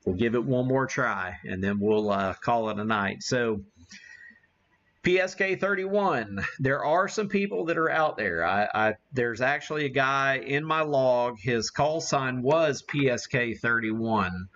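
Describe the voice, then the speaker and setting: A man giving a casual live demo as an amateur radio streamer, talking haltingly as he thinks aloud while working the gear. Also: mid-range voice, expressive, fairly bright tone, lo-fi sound.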